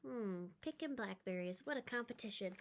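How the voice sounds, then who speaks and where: A woman reading a children's story aloud in an expressive, emphatic way, with chatty asides. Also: a little high, moderate pace, noisy background.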